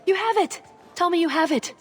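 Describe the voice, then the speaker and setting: A woman's voice: extremely high pitch, brisk, soft background music. An actress playing a young elf thief in a video game, wry, sarcastic and restless in her delivery. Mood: eager, guarded.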